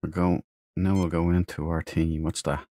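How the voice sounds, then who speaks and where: A man's voice: low voice, little pitch movement, moderate pace, warm tone. A man giving casual commentary as he plays through a computer game. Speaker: let's play host.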